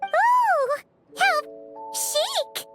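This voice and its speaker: A woman voicing a tiny, excitable, childlike female fairy companion in a video game, with a squeaky voice. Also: extremely slow, over music, exaggerated voice. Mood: delighted. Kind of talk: video game character acting.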